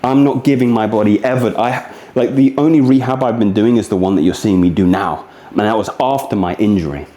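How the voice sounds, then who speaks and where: Man, soft mellow tone, earnest confiding delivery, casual exercise instruction, echoey recording. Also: lowish voice, brisk, few pauses. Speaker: fitness vlogger demonstrating rehab exercises. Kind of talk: casual exercise demonstration with candid reflection. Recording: echoey, roomy sound.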